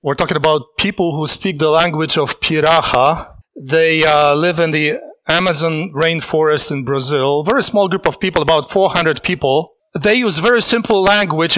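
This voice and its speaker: Man, preacher, sermon, earnest, reflective, halting, slightly high voice. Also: a bit bright, poor audio. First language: Polish.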